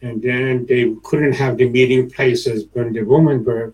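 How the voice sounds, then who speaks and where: A middle-aged man from Iran giving a talk in short halting phrases, pausing with fillers between each part so it can be interpreted. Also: medium pitch, fairly even intonation, darkish tone, poor audio. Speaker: speaker at a recovery convention. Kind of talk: prepared talk with consecutive interpretation.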